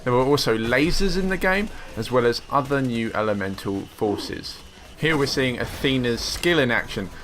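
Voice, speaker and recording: A man narrating a game preview script in a smooth, upbeat way. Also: mid-range voice, lively intonation, moderate pace, fairly bright tone. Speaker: games presenter.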